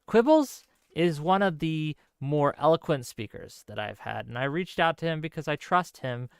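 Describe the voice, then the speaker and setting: A man hosting a debate, politely introducing and steering speakers, a little halting with repeated words. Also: fairly high pitch, expressive, vocal fry, clean tone, no echo, studio quality. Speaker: debate host and moderator.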